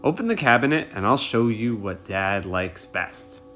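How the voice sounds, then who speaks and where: Man playing a knowing older sister, lively pitch. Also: moderate pace, soft background music. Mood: confident. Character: confident older sister in a storybook.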